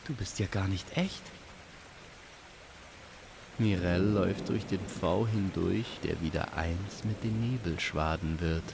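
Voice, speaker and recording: Deep, slow male storyteller with gravel. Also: background noise. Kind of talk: scripted fantasy story narration.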